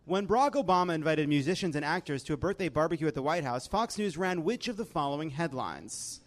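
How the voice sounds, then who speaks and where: A man's voice: fairly high pitch, animated, fast talker. A man hosting a comedy game show in front of a live audience, sarcastic and often laughing as he talks. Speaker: host.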